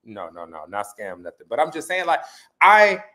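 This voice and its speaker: A man's voice: on the high side, wildly swooping pitch, normal speed, bright, forceful tone. A young man from the Midwest, a guest in a casual chat about reality TV, gossiping and acting out the voices of people he quotes.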